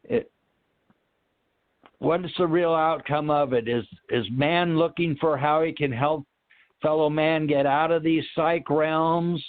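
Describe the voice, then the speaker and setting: A man speaking in a halting, reflective way, searching for words and trailing off as he discusses spiritual ideas, over a narrow phone-like connection. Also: fairly high pitch, smooth, no room sound. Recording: narrow, tinny phone-line sound.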